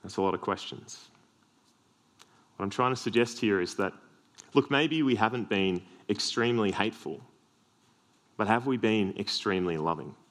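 An Australian man with a deep voice preaching a sermon, earnest with self-deprecating humorous asides. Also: moderate pace, long pauses, fairly bright tone.

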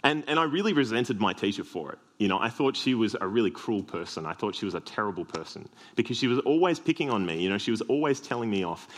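An Australian man with a deep voice preaching a sermon, earnest with self-deprecating humorous asides. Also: sing-song, quick pace, hardly any pauses.

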